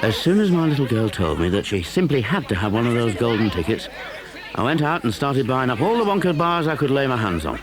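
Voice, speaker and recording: Man acting a blustering rich dad, very animated. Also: medium pitch, brisk, natural voice. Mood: boastful, exasperated. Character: boastful, doting, indulgent rich factory-owner father. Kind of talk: audio drama acting. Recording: noisy crowd ambience behind.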